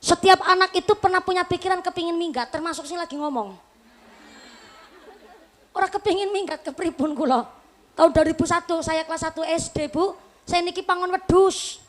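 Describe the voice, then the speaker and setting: A Javanese-accented woman in her late twenties preaching to a live audience, her voice sitting high in pitch. She keeps things light and chatty, weaving jokes into the talk and speaking straight to the crowd. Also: fairly quick. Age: late 20s. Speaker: Islamic preacher.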